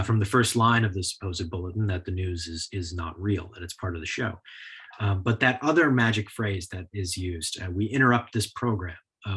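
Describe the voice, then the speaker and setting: A man giving a prepared history lecture in a measured, explanatory style. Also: fairly low pitch, a bit bright, husky. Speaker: lecturer, author.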